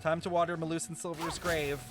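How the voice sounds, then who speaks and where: A young woman giving casual game commentary as a streamer, slangy, sweary and sarcastic, with animated exclamations. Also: sits low, brisk, music in the background.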